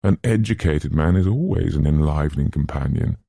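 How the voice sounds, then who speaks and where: A man's voice: extremely low pitch, lively intonation, a little fast, vocal fry, warm tone, no echo, clean studio sound, exaggerated voice. A man voicing a playful young woman in a storybook, courteous and lightly mocking, in a polite conversational manner. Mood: teasing.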